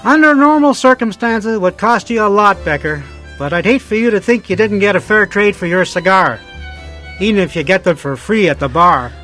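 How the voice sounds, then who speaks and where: Radio drama casting: a wisecracking bellhop, high bright voice, cheeky, cynical, open to a bribe. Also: male voice, lively intonation, moderate pace, faint music behind. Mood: cynical, wry. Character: wisecracking, cynical, bribable male hotel bellhop. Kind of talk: detective radio drama acting.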